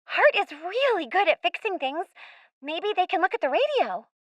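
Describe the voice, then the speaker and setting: A woman's voice: very high voice, big pitch swings, moderate pace, dry recording. A woman playing an earnest, hopeful young girl castaway in a radio play.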